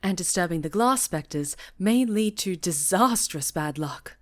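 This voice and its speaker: Woman playing a stern, formal high enchantress. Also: lively intonation, a little slow. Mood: wary. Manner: formal, grave, disapproving. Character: stern, cautious high enchantress, mentor witch. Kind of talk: fantasy ASMR roleplay acting.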